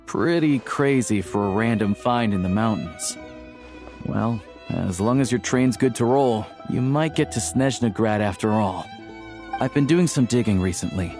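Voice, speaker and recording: A man's voice: fairly low pitch, unhurried, a little mellow, soft background music. A man voicing an easygoing, friendly young hunter in a video game, with a casual, wry manner. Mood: intent.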